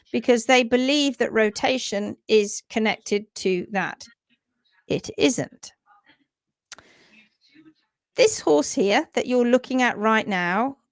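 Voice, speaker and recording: A woman hosting a livestream about horse hoof care, chatty and teaching. She has a sore throat and a croaky voice, and she mutters to herself while she fumbles with her screen. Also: a little high, no echo. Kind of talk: casual livestream talk and teaching. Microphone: computer mic on a video call.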